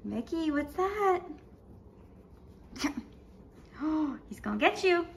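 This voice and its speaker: A woman's voice: high voice, sing-song, takes it slowly, gravelly. Bubbly young woman vlogging with a phone. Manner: bubbly and chatty, lots of gushing. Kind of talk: casual travel vlog. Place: outdoors and in shops at a beach town, later in a car. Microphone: handheld phone camera.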